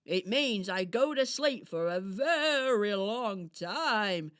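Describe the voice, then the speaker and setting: Drowsy, kind hedgehog for children's radio play, woman performer: soothing, reassuring, unhurried, drawn-out words, dry close sound. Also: medium pitch, expressive, no room sound. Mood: patient. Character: sleepy, kindly, reassuring hedgehog.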